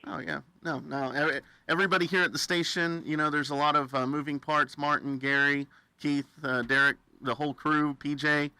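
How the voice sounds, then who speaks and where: A very bright American male voice, a little high and very dry. He hosts a friendly radio interview, upbeat and folksy, readily cheering on his guest. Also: steady tone, brisk, clear, resonant tone, dry recording. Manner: enthusiastic, affirming, folksy.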